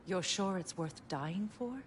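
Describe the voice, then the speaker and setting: Woman as a low-voiced, serious warrior adviser. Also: a little slow, a little hiss or hum, lightly acted. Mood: concerned, stern. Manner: serious, cautioning. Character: wary, earnest, no-nonsense female warrior adviser. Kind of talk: dramatic video game acting.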